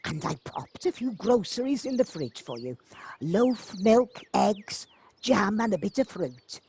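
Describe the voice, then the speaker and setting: Lively, kindly village housekeeper actress, faint noise. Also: female voice, mid-range voice, unhurried. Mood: kindly, welcoming. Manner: helpful, chatty, homely. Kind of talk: audio play acting. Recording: home device recording, light noise, clicks.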